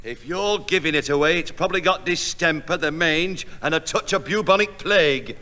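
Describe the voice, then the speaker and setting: A man playing a gruff, bad-tempered, loud father who blusters and bullies, in a 1960s radio comedy. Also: on the high side, moderate pace. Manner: blustering, bullying, bellowing. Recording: noisy old radio broadcast.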